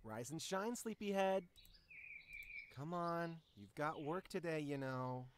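An actor playing a tender, possessive male ghostly children's show host in a visual novel game, with a soft, coaxing, affectionate manner. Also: a little high, slow, a little hiss or hum. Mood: gently teasing. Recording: music playing behind the voice.

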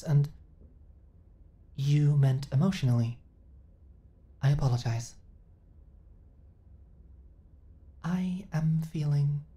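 A woman playing a female companion android in an ASMR audio roleplay, speaking in a stilted, literal, formal way, earnest and eager to serve. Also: deep voice. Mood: anxious, uncertain. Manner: stilted, formal, literal, emotionally flat delivery.

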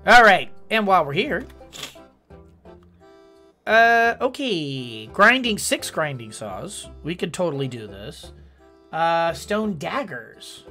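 A man's voice: a little high, big pitch swings, slow pace, pauses often, sharp tone, soft background music. A man in his forties giving chatty, joking let's-play commentary from a home gaming room. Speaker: gaming streamer.

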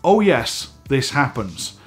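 A man in his forties hosting a casual vlog from a home studio, slightly hoarse and stuffy from a head cold, chatty and animated as he talks to the camera. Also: mid-range voice, slow, soft background music. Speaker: host. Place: home studio room with dive gear on shelves.

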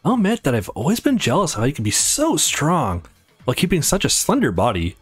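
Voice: mid-range voice, huge pitch swings, fairly quick, breathy edge, dry recording, faint music behind. A let's player voicing a gracious, muscular champion woman in a visual novel, politely giving praise.

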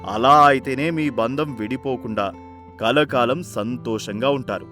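A man's voice: mid-range voice, a little slow, sharp tone. Man playing firm village elder father, music behind. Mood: firm, advising. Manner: firm, instructive. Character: older village elder father, authoritative, caring, scolding. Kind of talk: animated moral-story voice acting.

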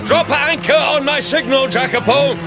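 A man's voice: extremely high pitch, fairly even intonation, normal speed, creaky, loud music behind the voice. A man acting in a radio drama as an authoritative, formal count commanding his yacht. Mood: commanding, calling out.

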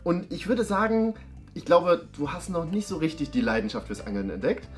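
A man's voice: fairly high pitch, expressive, moderate pace. A man, improvising a comic role-play in a theatrical, repetitive, exaggerated way. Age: 20s. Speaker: performer in an improvised date sketch. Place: indoor studio set at a dining table. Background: background music.

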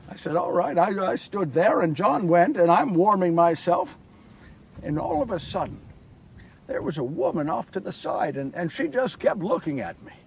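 A man's voice: high voice, big pitch swings, moderate pace, gravelly, a little hiss or hum, exaggerated voice. A man playing Simon Peter as a big, remorseful fisherman disciple, telling his story in an earnest, confessional, theatrical dramatic monologue. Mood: earnest, reflective. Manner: earnest, confessional, theatrical, swinging from hushed to shouting. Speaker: solo storyteller actor. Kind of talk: first-person dramatic monologue of a Bible story. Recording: distant microphone.

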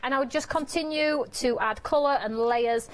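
A woman's voice: high voice, normal speed, a little hiss or hum. A young woman and craft demonstrator, sniffling a little as she chats through each step of her live demo in a TV studio. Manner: chatty, narrates each step as she works. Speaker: craft demonstrator on a TV shopping channel. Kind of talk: live product demonstration chat. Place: indoor TV studio set.

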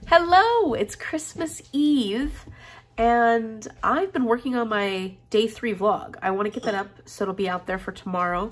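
A woman's voice: on the high side, unhurried, slight background noise. A woman in her thirties, congested with a head cold, chatting casually and upbeat to camera in her living room. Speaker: book vlogger. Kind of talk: casual vlog chat.

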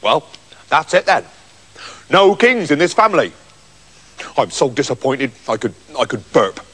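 A man's voice: a little high, very animated, unhurried, fairly bright tone, husky, in a large hall, pitched below the performer's own voice, full character voice. A man voicing a scornful, exasperated farmer in a comic children's story, bossy and dismissive. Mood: disappointed.

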